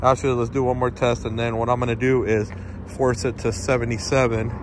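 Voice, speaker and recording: A man's voice: mid-range voice, brisk. A man filming on his phone at night on a city street, casually reading out network speed test results to his viewers. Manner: relaxed, reads out speed test numbers, addresses viewers as guys. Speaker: tech vlogger testing phone networks. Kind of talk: casual on-the-spot commentary to viewers. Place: outdoors on a city street at night near a stadium. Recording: outdoor phone recording with traffic noise. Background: passing traffic and street ambience.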